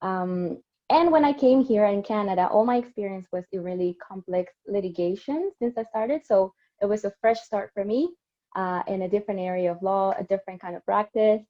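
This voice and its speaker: A woman's voice: medium pitch, fairly quick. A woman in her thirties from Peru with a Peruvian Spanish accent, introducing herself as a webinar panelist. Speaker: panelist, lawyer. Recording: dull lo-fi webinar audio.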